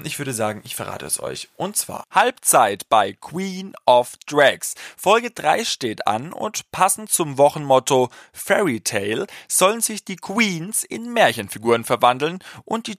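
A man's voice: fairly high pitch, fairly bright tone. A man with a Franconian accent hosting a podcast in a casual, playful way. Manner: playful, jokey. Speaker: podcast host.

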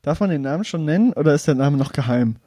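A man's voice: fairly high pitch, lively intonation, fast, warm tone, no room sound, studio quality. A young man in casual podcast banter, teasing and laughing. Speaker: podcast co-host. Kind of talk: casual chat.

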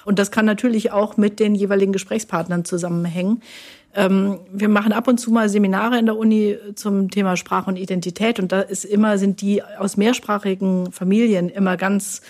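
A woman who teaches at a university, explaining terms in a measured, academic way and checking in with short tag questions. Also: mid-range voice, steady tone, darkish tone. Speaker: university linguist, interviewee.

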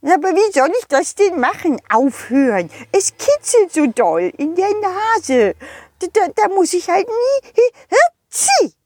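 A woman's voice: very high-pitched, big pitch swings, a little slow, a little mellow, no echo, voice pushed up, full character voice. A woman voicing a little snowman in a children's audio play, whiny, fretful and childlike, stammering and breaking into sneezes. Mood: helpless.

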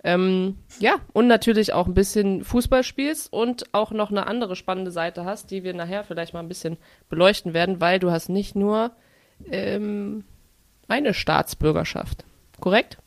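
A woman's voice: medium pitch, moderate pace, no echo. A woman hosting a casual podcast chat and interviewing a guest in an informal way.

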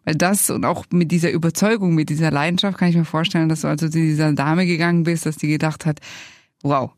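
A woman's voice: low voice, steady tone, quick pace, a little mellow, no room sound, clean studio sound. A woman co-hosting an interview podcast, conversational and affirming, explaining things to guest and listeners. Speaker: podcast co-host.

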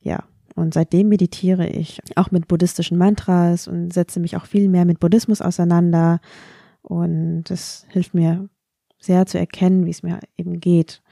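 A woman's voice: lowish voice, flat delivery, normal speed, gravelly, warm tone, dry recording, clean studio sound. A woman who grew up speaking Vietnamese, talking thoughtfully and candidly about her own feelings in a podcast conversation. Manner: thoughtful, candid, self-ironic. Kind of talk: reflective personal conversation.

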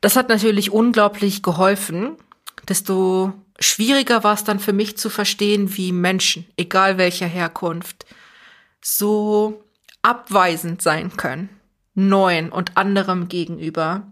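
A woman's voice: medium pitch, fairly even intonation, a little slow, a bit bright. Earnest young woman, thoughtful interview guest. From Germany. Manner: thoughtful, earnest, self-correcting restarts. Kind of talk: reflective conversational interview.

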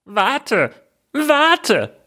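A man voicing a croaking fairy-tale frog who pleads and bargains, in a storybook character voice. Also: very high voice, wildly swooping pitch, extremely slow, pushed and bright, voice pushed up, full character voice. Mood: pleading, urgent. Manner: punctuates speech with quacking croaks.

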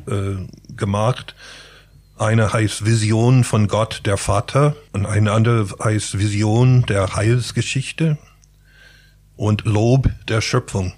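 A man who is a native English speaker, speaking German with an accent as an interview guest, thoughtful and searching for words. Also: on the low side. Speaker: interview guest, pastor and painter. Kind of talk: reflective interview talk.

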